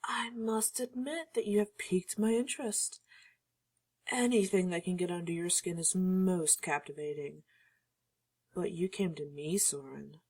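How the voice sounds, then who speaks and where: A woman's voice: on the low side, a little slow, a little mellow, husky. An actress playing a haughty, teasing vampire noblewoman in an audio drama, with a melodious, playfully mocking, predatory manner. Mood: amused curiosity.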